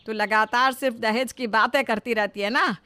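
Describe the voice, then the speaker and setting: A woman's voice: a little high, fairly even intonation, moderate pace, sharp tone. A woman voicing an exasperated older mother-in-law in a scripted story, scolding and complaining.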